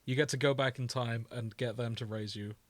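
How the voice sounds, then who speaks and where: A man's voice: medium pitch, fairly even intonation, brisk, dry recording. A man co-hosting a podcast in casual, unscripted chat, with an easygoing conversational way of talking. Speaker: podcast co-host.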